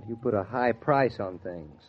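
An actor playing a wry, patient young husband with dry, teasing delivery in 1940s radio drama style. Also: male voice, fairly low pitch, lively intonation, measured pace, husky. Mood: dry, matter-of-fact. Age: young adult. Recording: muffled 1940s radio recording.

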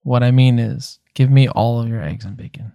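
A man playing a gluttonous, deliberate and pompous deadpan tavern customer in a tabletop roleplay game. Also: mid-range voice, vocal fry, no room sound, lightly acted. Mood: deadpan insistence. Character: deadpan, gluttonous male tavern customer demanding all the food.

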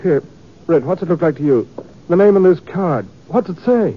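Radio western: florid small-time thespian, folksy yet grandiose, very animated delivery, muffled vintage recording. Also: male voice, sits high, normal speed. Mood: stunned, flustered. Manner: theatrical, folksy drawl turning grandiloquent. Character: hammy, florid small-time traveling Old West actor. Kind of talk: 1950s radio western acting. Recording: dull lo-fi 1950s radio recording.

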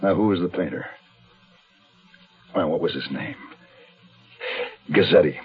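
A hunted, weary American narrator of a radio mystery, low in pitch and brooding. He tells his tale with deliberate dramatic weight. The sound is narrow and lo-fi, with faint music behind. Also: slightly rough, heavily acted. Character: hunted, weary man on the run, narrating his own story. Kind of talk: 1970s radio mystery drama, first-person noir narration.

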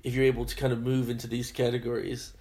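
A man interviewing a guest, speaking gently and reflectively, affirming what she says. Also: mid-range voice, completely flat delivery, fast. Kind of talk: conversational interview.

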